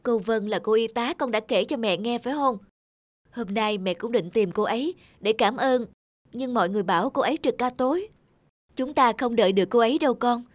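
A woman's voice: fairly high pitch, a little fast, no room sound, lightly acted. A woman voicing a caring mother of a little girl in a cartoon. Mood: gentle. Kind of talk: cartoon dubbing.

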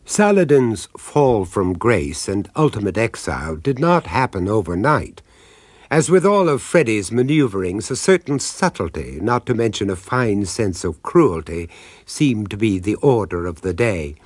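An actor as an urbane narrator, telling a sinister radio horror story in a measured, wry, ominous way. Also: male voice, fairly low pitch, sing-song, no room sound.

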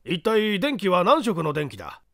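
Mystery-story judge, acted by a male reader: stern, commanding, slightly high male voice, dry sound, clipped blunt questions. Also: huge pitch swings, moderate pace, creaky, a bit bright, no echo, heavily acted. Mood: stern, probing. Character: stern male examining judge grilling a witness.

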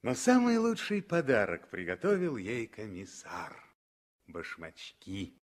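A man narrating a 1960s radio drama as a storyteller, with expressive, dramatic phrasing. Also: fairly low pitch, takes it slowly.

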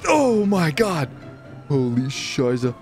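A man chatting casually as he plays a roleplay game, excitable, swearing freely and repeating his phrases. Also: on the high side, slow pace, faint music behind. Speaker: video host and roleplay gamer. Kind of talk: casual chat while playing a crime roleplay game.

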